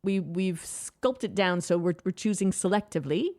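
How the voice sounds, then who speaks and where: A woman's voice: fairly low pitch, normal speed, a little mellow. A woman from Canada with a Canadian accent, a theatre director explaining her production in a radio studio interview with enthusiasm and long, flowing answers.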